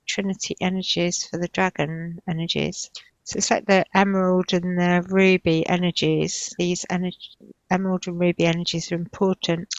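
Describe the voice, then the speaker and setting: A woman giving an unscripted, trance-like spiritual channeling, describing visions in halting, repetitive, searching phrases. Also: lowish voice, no room sound, highs cut off. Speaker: spiritual healer channeling in a session.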